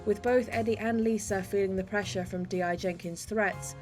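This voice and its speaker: Casting: female presenter, mid-pitched, delivering a scripted programme introduction over a clear music bed. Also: steady tone, normal speed. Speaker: host.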